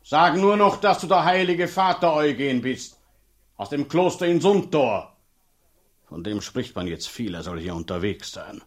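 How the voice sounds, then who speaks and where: An actor playing a middle-aged, gruff and suspicious village priest in a radio drama, husky, blunt and talkative. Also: male voice, fairly high pitch, sing-song, measured pace.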